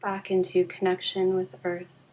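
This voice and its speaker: A woman leading a guided yoga nidra relaxation in a calm, soothing, unhurried way with long pauses. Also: lowish voice, rough recording.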